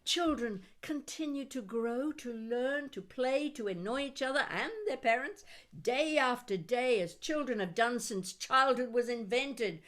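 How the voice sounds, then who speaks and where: Slow-speaking woman reciting poetry. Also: fairly high pitch, a bit bright. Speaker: poet reading own work. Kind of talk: expressive spoken-word poetry recital.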